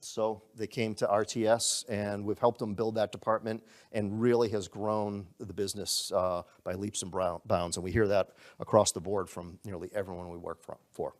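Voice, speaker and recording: Upbeat man giving a sales pitch. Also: flat delivery, normal speed, few pauses. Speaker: company presenter. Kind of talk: live sales presentation. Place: presenting in a room to a group.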